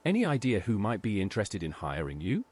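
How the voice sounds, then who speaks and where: Animated, earnest game hero. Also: male voice, on the low side, fast talker, breathy edge, full character voice. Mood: earnest, helpful. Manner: plain, brief questions and statements. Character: helpful, straightforward male adventurer hero. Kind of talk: AI-voiced video game dialogue.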